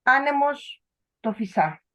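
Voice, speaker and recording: A woman whose first language is Greek, reading her poem slowly and with measure over a video call. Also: sits high, expressive, bright, forceful tone. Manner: slow, measured recitation. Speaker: poet. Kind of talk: poetry reading.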